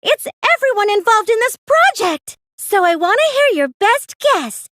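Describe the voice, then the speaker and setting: A woman's voice: very high voice, very animated, normal speed, a bit bright, no room sound, heavily acted. A woman voicing a gleeful, gloating young female cosplayer mastermind in an anime video game, theatrical and taunting. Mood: smug, triumphant.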